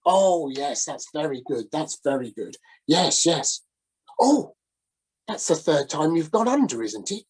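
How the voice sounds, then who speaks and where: A woman voicing a prim gentleman who talks in an exasperated way. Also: low-pitched, big pitch swings, unhurried, breathy edge, heavily acted.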